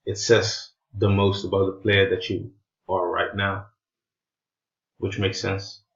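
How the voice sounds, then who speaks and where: A man, a native Portuguese speaker with non-native English, giving an informal explainer in a halting, hesitant way, searching for words. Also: low-pitched, level tone, a bit bright, muffled recording. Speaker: presenter of an explainer video.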